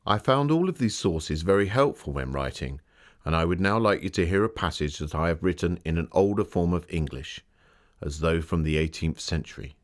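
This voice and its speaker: An actor playing a Victorian gentleman author who recounts his dark tales in a measured, formal and theatrical way. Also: low voice, lively intonation. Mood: genial, formal. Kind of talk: dramatic scripted storytelling for an exhibit.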